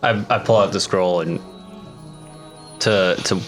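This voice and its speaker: A man playing a tabletop game, talking casually and haltingly as he decides his moves. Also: low voice, fairly even intonation. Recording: soft music and rain behind voice.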